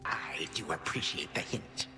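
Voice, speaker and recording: An echoing, music-backed game villain: an ancient god of time. He speaks in grand archaic phrases with a sly, bitter edge. His breathy voice rises and falls dramatically. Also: a bass voice, expressive, a little slow. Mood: dry, peevish. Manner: grandiose, archaic, theatrical, sardonic. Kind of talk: video game voice acting. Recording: loud music behind, echoing room. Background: loud game music.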